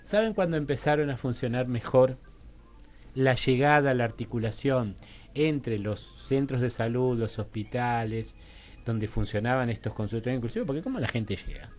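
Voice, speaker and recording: A man with an Argentine Rioplatense accent, speaking as an interview guest in a halting, digressive way and often checking for agreement. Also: mid-range voice, darkish tone, soft background music. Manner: halting, digressive, seeks agreement with frequent tag questions. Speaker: interview guest, social researcher. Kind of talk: informal radio interview explanation. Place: radio studio.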